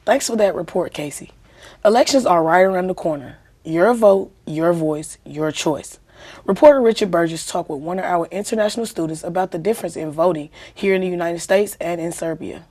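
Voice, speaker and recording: A woman's voice: lowish voice, brisk, a little mellow, breathy edge. A woman anchor presenting scripted student television news.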